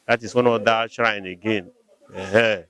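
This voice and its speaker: A man's voice: fairly low pitch, steady tone, moderate pace, fairly bright tone, clear, resonant tone, dry recording. Nigerian male interviewee, patient and hesitant, dry recording. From Nigeria, Rivers State. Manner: hesitant, repeats words, explains patiently. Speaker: local community member interviewed. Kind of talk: conversational answers.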